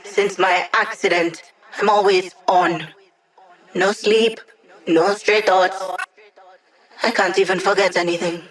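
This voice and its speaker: A young woman hacker living as a hologram in a video game. She is cocky and sardonic, tossing out slangy lines and teasing her squad in a medium-pitched voice. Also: steady tone, takes it slowly, pauses often, fairly bright tone, poor audio. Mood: wistful.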